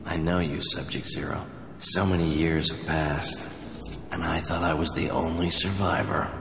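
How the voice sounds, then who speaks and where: A man's voice: very deep voice, fairly even intonation, moderate pace, over music. A man playing a haunted, obsessive survivor of childhood experiments in a video game, speaking with brooding intensity. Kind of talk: video game cutscene acting. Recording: echoey hall, faint background noise.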